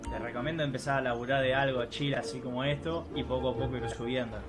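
A man's voice: medium pitch, fairly even intonation, fast talker, loud music behind the voice. A man with a Rioplatense Spanish accent chatting casually in character in a roleplay game.

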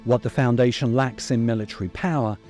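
Mellow middle-aged man narrating over music. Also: mid-range voice, a little fast. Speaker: video essayist and host. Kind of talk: scripted narration, commentary. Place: open-sided covered outdoor space. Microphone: desk microphone close in front.

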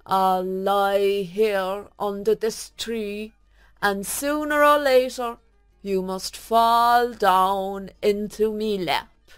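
A woman voicing a menacing, boastful male dragon in a children's story, loud and drawn-out in delivery. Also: mid-range voice, steady tone, clear, resonant tone, no room sound, faint music behind. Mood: smug, threatening.

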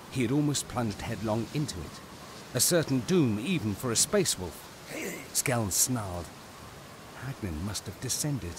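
A man narrating a scripted audio drama in a grave, deliberate, dramatic way. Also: fairly low pitch, background noise.

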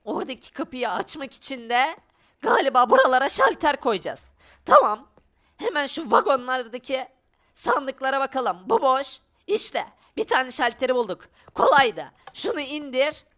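A woman giving excited video game commentary, exclaiming and thinking aloud for her viewers. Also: sits high, moderate pace. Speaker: gaming video creator.

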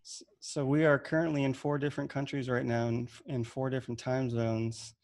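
A man in his thirties hosts an online panel over a video call, speaking at an even, middling pitch. He talks in a loose, relaxed way, often repeating a word before going on. The sound is plain webcam audio from a room at home. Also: brisk. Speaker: webinar host and moderator. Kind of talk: casual online panel moderation. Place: home room with shelves of gems.

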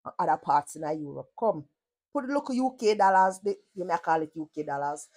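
A woman's voice: on the low side, expressive, a little fast, darkish tone. A woman from Jamaica with a Jamaican accent gives sarcastic reaction commentary, blunt and full of profane patois.

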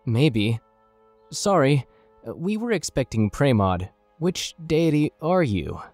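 Male part-elf adventurer played by an actor, matter-of-fact and courteous, fantasy audio drama, dry sound, unhurried delivery. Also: medium pitch, sing-song, a little mellow, dry recording, faint music behind, full character voice. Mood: wary, polite. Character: wary, polite part-elf messenger who summons gods.

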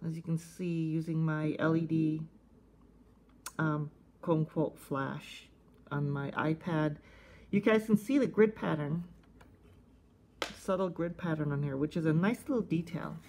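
A woman giving casual, unscripted hands-on review commentary in a halting, conversational way, thinking aloud. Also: low-pitched, darkish tone. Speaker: host of a cassette collecting video channel. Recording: faint background noise, casual tablet recording.

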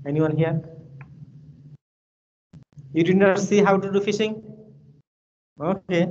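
A man's voice: on the high side, darkish tone, lo-fi sound, a little hiss or hum. A male university lecturer from Bangladesh, speaking English with a Bangladeshi accent as his second language. He gives an online class lecture and rambles and repeats himself in a friendly storytelling way. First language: Bengali. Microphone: computer mic on a video call.

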